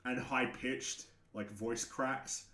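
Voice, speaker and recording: A young man with a naturally deep voice hosts a casual livestream, giving frank, opinionated takes with frequent restarts, occasional swearing and laughter. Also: steady tone, slow, pushed and bright, reverberant. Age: young adult. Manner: laughs often. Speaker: livestream host answering chat.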